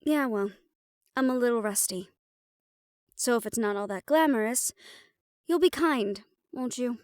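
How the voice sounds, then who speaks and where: A woman's voice: a little high, measured pace, a little mellow, clear, resonant tone, no echo, studio quality, close to an everyday voice. A woman playing a sarcastic, emphatic young siren who is easily exasperated, in a fantasy audio roleplay. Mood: wry, teasing. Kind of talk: dramatic audio roleplay acting.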